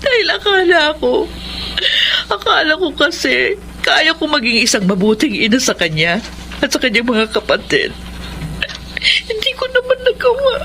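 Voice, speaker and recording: A woman's voice: high voice, big pitch swings, moderate pace, gravelly, bright, forceful tone, a little hiss or hum, exaggerated voice. An actress playing a guilt-ridden, troubled mother in a Filipino radio drama, with an emotional, confessional delivery. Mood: tearful.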